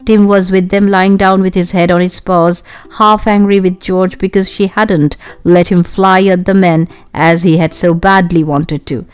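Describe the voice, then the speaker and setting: A woman reading a children's adventure story aloud in an expressive storytelling style. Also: fairly low pitch, a little slow, few pauses, clear, resonant tone, no room sound. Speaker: audiobook narrator.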